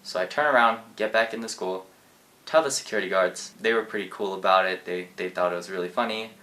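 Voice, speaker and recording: Laid-back teenage boy, low voice. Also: brisk, pushed and bright, rough recording. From Japan. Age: teens. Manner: laid-back, rambling with fillers. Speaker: vlogger. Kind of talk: casual storytelling.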